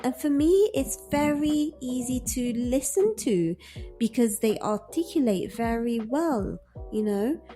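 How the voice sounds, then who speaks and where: Slow, warm-voiced British-accented teacher. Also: female voice, a little high. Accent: British English. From French-speaking. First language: French. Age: 30s. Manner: earnest, repeats key phrases for emphasis. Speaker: English teacher. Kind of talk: encouraging direct-to-camera lesson.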